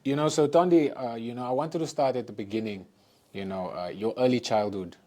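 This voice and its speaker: A man hosting a podcast interview, speaking softly and casually, often saying "you know". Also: mid-range voice, a little fast. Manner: soft-spoken, leans on "you know". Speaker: podcast host.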